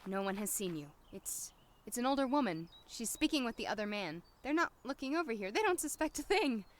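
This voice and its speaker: A woman's voice: a little high, sing-song, a little fast. A woman playing an anxious, sarcastic young doctor in an audio drama, flustered and given to talking to herself. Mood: hushed urgency. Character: anxious, wry young woman doctor, new lab worker in town. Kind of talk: audio drama acting with inner monologue.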